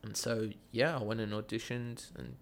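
A man speaks in a relaxed, rambling way, his Australian accent toned down. His voice has a gravelly fry to it, and his sentences drift through frequent fillers and restarts. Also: fairly low pitch, normal speed, slight background noise. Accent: Australian, softened. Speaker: guest, actor and school theatre teacher. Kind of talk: casual podcast chat.

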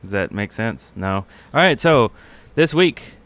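A man's voice: fairly low pitch, lively intonation, a little slow, fairly bright tone, no room sound. A man co-hosting a podcast, chatting informally and a bit rambling about video games. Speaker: podcast co-host. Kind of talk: casual gaming podcast chat.

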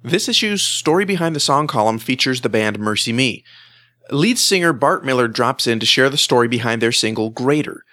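Bright-voiced male music show host. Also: mid-range voice, animated, moderate pace, no room sound. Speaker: host and interviewer. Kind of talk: music show narration and interviewing.

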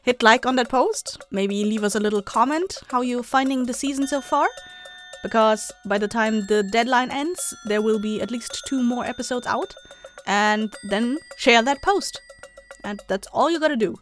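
A woman's voice: fairly high pitch, moderate pace, soft background music. A woman podcast host giving a chatty, enthusiastic, casual announcement to listeners.

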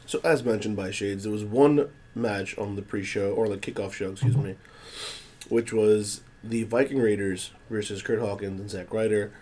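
A man co-hosting a casual podcast while under the weather, his voice a little hoarse, talking in an animated, opinionated way. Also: fairly low pitch, normal speed, slight background noise. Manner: animated, opinionated wrestling talk. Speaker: podcast co-host.

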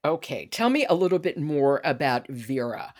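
A woman's voice: low-pitched, sing-song, moderate pace, a little mellow. A woman hosting a podcast in a conversational interview style. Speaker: podcast host.

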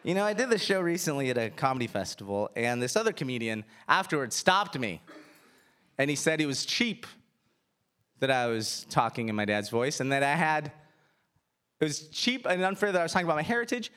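A man's voice: on the high side, brisk, clear, resonant tone. A male stand-up comedian telling stories conversationally on a theater stage. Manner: animated, conversational storytelling. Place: theater stage before a live audience.

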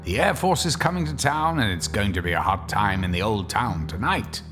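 Man doing a sinister horror host, deep, swooping, mocking, theatrical, music behind. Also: animated, normal speed, close to an everyday voice. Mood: gloating. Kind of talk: radio drama hosting.

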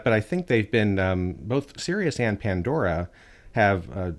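A man with a very deep, low voice speaks as an interview guest. He argues earnestly and with emphasis. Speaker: guest, artists' rights advocate. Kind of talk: interview answers.

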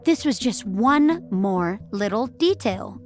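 A woman narrating scripted audio fiction in a measured, expressive storytelling style. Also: mid-range voice, faint music behind.

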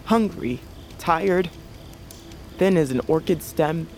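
A man's voice: on the high side, takes it slowly, creaky, darkish tone, slight background noise. A man playing a haunted, sensitive but brave young fugitive in a fantasy audio drama. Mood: haunted, brooding.

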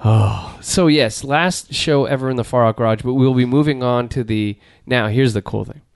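Dry-voiced rambling middle-aged podcast host. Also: male voice, medium pitch, normal speed, gravelly, no echo. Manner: rambling, deadpan, trails off and restarts. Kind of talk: casual joking banter. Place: home garage studio.

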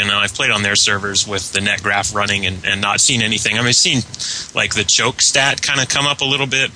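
A man from the United States chatting casually as a tech-minded podcast guest, explaining things in a hesitant, measured way. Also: fairly low pitch, sharp tone, a little hiss or hum, poor audio. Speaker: guest and server admin. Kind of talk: casual technical chat.

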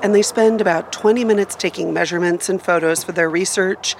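A woman's voice: on the low side, brisk, noisy background. A woman radio reporter reading scripted narration for a feature story.

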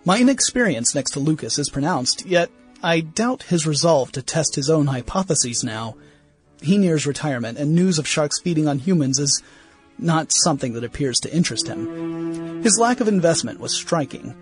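A man's voice: a little high, expressive, normal speed, breathy edge, soft background music. A man voicing a young museum naturalist writing diary entries in a 1916 radio play. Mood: earnest. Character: young male museum naturalist, earnest and doubtful.

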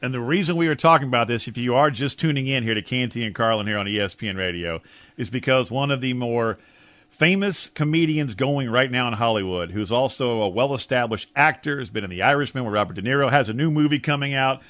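Bright, animated man co-hosting sports radio. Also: medium pitch, fast, no room sound. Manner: animated, jokey. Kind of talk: casual sports talk radio banter.

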